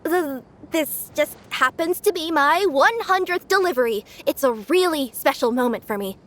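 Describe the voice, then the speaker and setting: A woman's voice: high voice, lively intonation, normal speed. A woman voicing a cheerful young female cat-spirit courier who speaks politely and stammers when nervous. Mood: flustered. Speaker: delivery courier. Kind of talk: video game voice acting.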